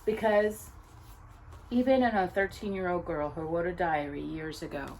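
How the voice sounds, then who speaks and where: A woman reading aloud as a storytime host, speaking earnestly and deliberately to young viewers, phrase by phrase. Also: fairly low pitch, fairly even intonation, a little mellow, slight background noise.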